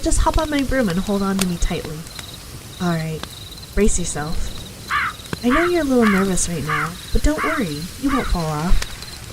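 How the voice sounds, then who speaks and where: A woman's voice: medium pitch, natural voice. Friendly forest witch, acted by a woman, noisy night ambience. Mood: eager, inviting. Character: friendly, confident female forest witch, eager mentor. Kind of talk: ASMR roleplay monologue to the listener.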